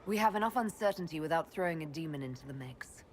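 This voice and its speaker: Casting: female Inquisitor, steady commander, questioning and to the point, voice a little low. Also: quick pace, a little hiss or hum. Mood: firm, wary. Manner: firm, direct, questioning. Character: resolute female Inquisitor leader. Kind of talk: video game dialogue acting.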